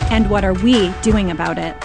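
Woman, announcer, scripted intro, gentle polished read, music behind. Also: mid-range voice, moderate pace, gravelly.

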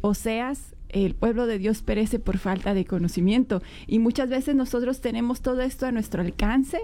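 Earnest woman with a mellow voice. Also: fairly high pitch, fairly even intonation, normal speed. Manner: earnest, cites documents. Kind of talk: casual chat on faith.

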